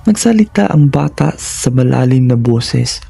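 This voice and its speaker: A man's voice: mid-range voice, expressive, a little slow, creaky, mellow, slightly rough, no room sound. A man narrating scripted first-person horror stories in a dramatic, suspenseful manner, in short phrases.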